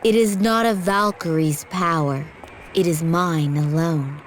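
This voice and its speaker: A woman's voice: lowish voice, fairly even intonation, a little slow, a little hiss or hum, natural voice. An actress playing a solemn, aloof woman with mystic powers in a video game, grave and formal. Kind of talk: dubbed video game cutscene acting.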